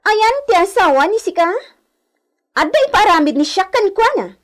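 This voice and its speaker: A woman's voice: very high-pitched, big pitch swings, moderate pace. A woman playing an imperious young heiress in a radio drama, with an Ilocano accent and a commanding, haughty manner peppered with Spanish words.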